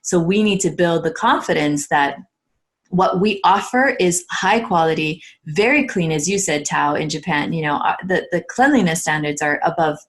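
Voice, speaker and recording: Low-voiced earnest woman guest. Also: moderate pace. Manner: earnest, reflective, with restarts. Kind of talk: casual conversation.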